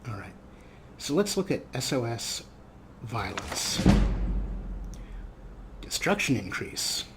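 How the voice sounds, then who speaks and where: A man's voice: medium pitch, big pitch swings, takes it slowly, very noisy. A man giving casual let's play commentary in a chatty way, with playfully formal turns of phrase. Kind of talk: casual let's play commentary with some read-out game text.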